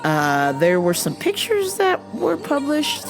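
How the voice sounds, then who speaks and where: A woman hosting a solo podcast with a hoarse, worn-out voice, talking in a brash, profane, joking way over background music. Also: high voice, huge pitch swings, takes it slowly. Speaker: podcast host.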